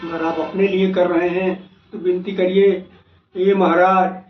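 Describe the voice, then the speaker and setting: A man hosting as an astrologer, giving devotional remedy instructions in a deliberate, phrase-by-phrase way. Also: high-pitched, level tone, soft background music. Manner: deliberate, phrase-by-phrase, repetitive listing. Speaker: astrologer host. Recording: echoey, noisy, dull lo-fi, distant mic.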